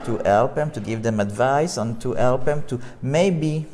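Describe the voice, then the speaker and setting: A man from France speaking English with a French accent as a film director in an interview, pausing often with fillers and restarts. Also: medium pitch, darkish tone, long reverb tail, a little hiss or hum. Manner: halting, with many "uh" fillers and restarts. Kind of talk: interview conversation.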